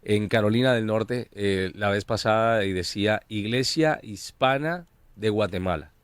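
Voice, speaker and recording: A man from Colombia co-hosting a discussion, speaking in a measured, hesitant way with many fillers and tag questions. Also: lowish voice, clear, resonant tone, dry recording. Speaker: co-host. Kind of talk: conversational discussion.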